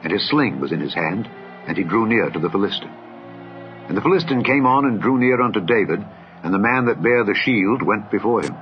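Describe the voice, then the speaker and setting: A man's voice: on the low side, expressive, fairly quick, lo-fi sound, soft background music. A man narrating a scripture story for children, solemn, with archaic phrasing.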